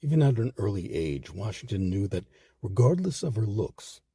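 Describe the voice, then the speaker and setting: A man narrating scripted history as a documentary host, with measured, emphatic storytelling. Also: fairly low pitch, very animated, mellow, breathy edge, dry recording, studio quality.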